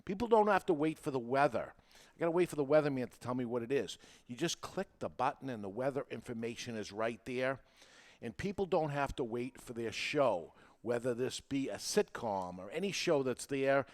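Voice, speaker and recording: Male podcast host, mid-pitched, making his case informally and emphatically, with repetition and rhetorical questions. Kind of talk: informal talk show monologue, explaining and persuading.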